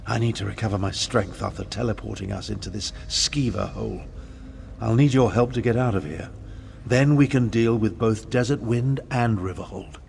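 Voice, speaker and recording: An actor playing a wry, fastidious and theatrical prince and mage in a fantasy video game, articulate and self-assured. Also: male voice, on the low side, gravelly, a little mellow, slight background noise. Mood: weary.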